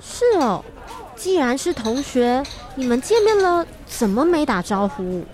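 Lively, high-voiced curious mother. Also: measured pace. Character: curious, caring mother running a night market stall. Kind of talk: children's radio drama acting.

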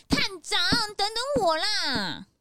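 A woman's voice: very high-pitched, huge pitch swings, takes it slowly, heavy background noise, full character voice. A woman playing a chatty, impatient young detective's assistant who butts in with exclamations, in a children's audio drama. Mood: excitable.

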